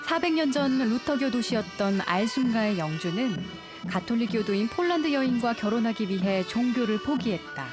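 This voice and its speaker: A woman narrates a travel documentary in a calm, measured studio voice-over. Her voice sits a little high. Music plays clearly behind her.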